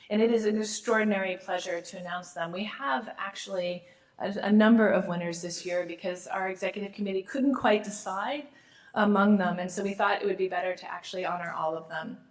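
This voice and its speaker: A middle-aged woman, a law school dean, gives a formal awards speech while losing her voice, with small restarts and asides. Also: mid-range voice.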